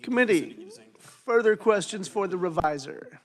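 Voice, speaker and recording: A man chairing a legislative committee hearing, brisk and procedural as he calls on speakers. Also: sits high, animated.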